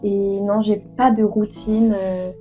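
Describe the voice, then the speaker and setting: A woman's voice: medium pitch, flat delivery, slow pace, dark tone, soft background music. A young woman in her twenties from France, answering interview questions earnestly with many hesitations and fillers. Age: 20s. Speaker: author guest.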